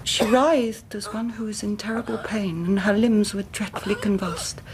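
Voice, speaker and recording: A woman's voice: medium pitch, steady tone, moderate pace, natural voice. Woman acting posh-formal young english lady in a radio play, grieving, precise storyteller, bit of background noise. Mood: haunted, dramatic. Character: grieving young gentlewoman recounting her twin sister's death.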